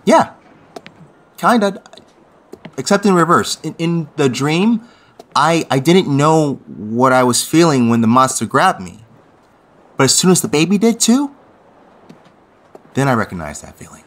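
A man's voice: a little high, very animated, a little slow, long pauses, fairly bright tone, full character voice. A man playing an unsettled young man in an audio drama, speaking hesitantly and repeating words as he puzzles something out. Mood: unsettled, searching. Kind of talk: naturalistic audio drama acting.

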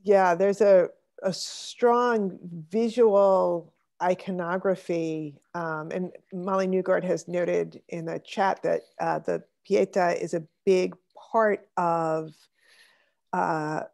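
Gravelly-voiced woman leading a discussion. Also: fairly low pitch, fairly even intonation, takes it slowly, a little mellow. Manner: thoughtful, calls on participants by name. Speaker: discussion leader. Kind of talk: online salon discussion. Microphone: video call.

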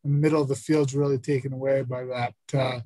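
A man joins the call to give a quarterback's view of the defense. He talks casually, often stopping and restarting his sentences. His voice is mid-pitched, and the sound is dry and close. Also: level tone, dark tone, no room sound. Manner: halting, many restarts. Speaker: co-presenter, quarterback perspective. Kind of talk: casual coaching discussion.

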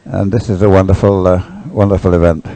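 A man giving live, unscripted sports commentary in a halting, thoughtful way. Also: low voice, level tone, mellow, poor audio.

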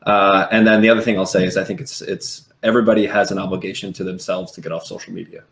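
A man's voice: low voice, level tone, fast, fairly bright tone. A man on a panel video call, thinking aloud philosophically with many qualifications. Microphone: video call from a room. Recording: echoey room on video call.

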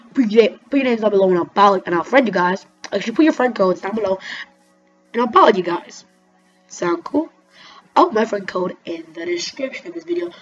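A woman giving rambling, excitable let's-play commentary over her gameplay, talking straight to her viewers. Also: medium pitch. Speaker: gaming commentator. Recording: dull lo-fi mic with faint music.

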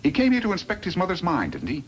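An actor playing a scheming, sardonic villain boss in a 1950s radio western, insinuating and bossy. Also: male voice, sits high, wildly swooping pitch, fast. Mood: sly, calculating. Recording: noisy vintage 1950s radio recording.

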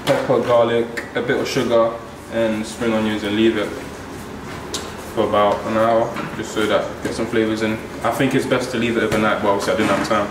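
A relaxed young man talks his way through a recipe in a large modern kitchen. He sounds distant from the camera, with a roomy echo and clear background clatter of pots and pans. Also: fairly low pitch. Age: young adult. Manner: relaxed, explains as he cooks. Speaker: young footballer cooking. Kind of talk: casual cooking vlog chat. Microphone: far camera mic. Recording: echoey kitchen, distant mic, noisy.

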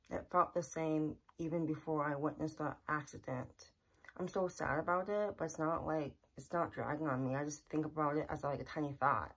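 Young woman vlogging from her bedroom, earnest and kinda repetitive, low-ish raspy voice. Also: rough recording. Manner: earnest, repetitive, plain-spoken. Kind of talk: casual personal vlog to camera. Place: small bedroom, indoors. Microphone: camera in front at close range.

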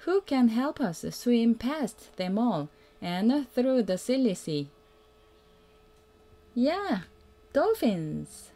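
A woman reading a children's picture book aloud as a mother would, in a simple, deliberate way that invites the young listener to join in. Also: on the high side, big pitch swings, warm tone. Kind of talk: read-aloud children's storytelling.

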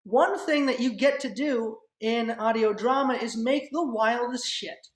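A woman's voice: a little high, a little slow. A young woman speaking informally as a student club writer, making an announcement on a video call. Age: young adult. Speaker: head writer of student audio drama club. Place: remote video call indoors. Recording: echoey room over video call.

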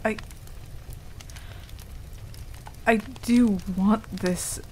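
Woman voicing a flustered, halting tsundere werewolf girl. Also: mid-range voice, fairly even intonation, warm tone, slight background noise, close to an everyday voice, low-quality recording. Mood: scared, tender. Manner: halting, stammering, defensive. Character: proud, flustered young female tsundere werewolf. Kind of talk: intimate ASMR roleplay.

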